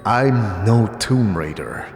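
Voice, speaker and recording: An actor playing a guarded, weary wanderer in a fantasy audio drama, asking terse, plain questions. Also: male voice, fairly low pitch, expressive, slow pace, darkish tone. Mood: defiant. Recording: echoey hall, music behind.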